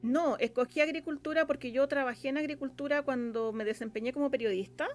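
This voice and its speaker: A Chilean woman with a fairly high voice talks as an interview guest. She is chatty and rambling, dropping in filler phrases as she explains things in a casual, conversational way. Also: fairly quick, faint music behind. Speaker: interview guest, radio drama scriptwriter.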